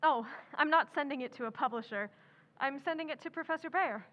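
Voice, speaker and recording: A woman's voice: sits high, a little fast, highs cut off, lightly acted. An actress playing a passionate, headstrong young woman writer in an old-time radio drama, earnest and emphatic. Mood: brisk, insistent.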